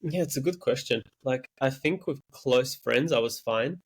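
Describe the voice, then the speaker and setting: A man's voice: mid-range voice, moderate pace, slightly rough. Young Serbian-born man, interview guest, reflective and candid, mid-range voice with some stammered restarts. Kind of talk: reflective personal storytelling.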